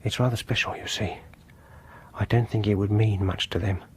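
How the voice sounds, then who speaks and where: An actor playing a reserved, quietly insistent music lover in a British radio horror drama, speaking with a British accent in a formal, hesitant, measured way. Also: male voice, fairly low pitch, steady tone, breathy edge. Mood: earnest, tentative.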